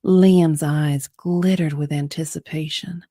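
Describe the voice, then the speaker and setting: A woman narrating a dramatic romance reading in a breathy way, often dropping to a whisper. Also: deep voice, steady tone, slow, dark tone, dry recording, clean studio sound.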